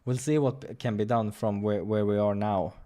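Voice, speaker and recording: A man's voice: fairly low pitch, fairly even intonation, normal speed, mellow. A man reading a visual novel aloud during a gaming playthrough, slipping into casual asides and stumbling over lines now and then. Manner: stumbles over read lines and corrects himself.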